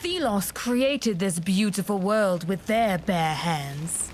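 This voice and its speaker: A haughty, pious high priestess from a video game. She talks slowly and with measured condescension, with faint ambient noise behind her. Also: animated. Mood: stern.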